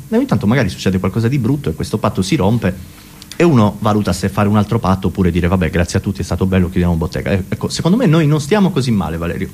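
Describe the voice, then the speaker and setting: A man's voice: medium pitch, mellow, a little hiss or hum. A man performing a conversational, ironic comic monologue for radio theatre, musing philosophically and talking to imagined listeners.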